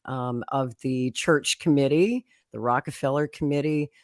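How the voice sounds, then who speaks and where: A woman's voice: a bass voice, measured pace, no echo, clean studio sound. A woman, a guest telling her political life story in a chatty way, laughing often and acting out quotes. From Oklahoma, USA. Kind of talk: conversational storytelling.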